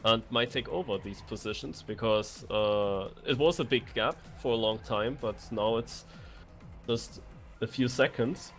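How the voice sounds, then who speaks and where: A man's voice: mid-range voice, a little slow. A man giving conversational live commentary as a co-caster on an esports racing game. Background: music.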